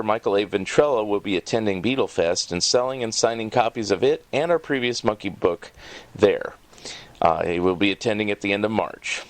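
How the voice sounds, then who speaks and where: A man in his fifties hosting a podcast, giving announcements and putting questions to a guest. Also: lowish voice. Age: 50s. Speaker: podcast host.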